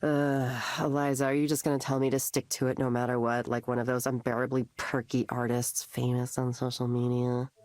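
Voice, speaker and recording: An actress playing a discouraged, anxious artist confiding her self-doubt in a visual novel, in a halting, confessional way. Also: very deep voice, level tone, a little mellow, no echo, studio quality. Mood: dejected. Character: discouraged, anxious young woman artist in therapy. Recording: game dialogue under clear music.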